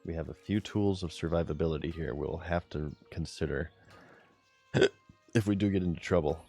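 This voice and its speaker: A man with a very low, deep voice talks casually through a game stream, giggly and relaxed as he chats with viewers. Faint music plays behind him. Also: normal speed, breathy edge. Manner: giggly, laid-back, chatting to viewers while playing.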